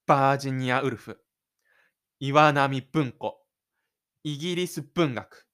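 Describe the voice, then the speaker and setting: A man's voice: mid-range voice, slow, a bit bright. Man acting a cocky, stubborn teenage loner. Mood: scornful, cocky. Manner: cocky, defensive, scornful muttering. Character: shy, stubborn high-school boy boasting in his head. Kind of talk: inner-monologue radio drama acting.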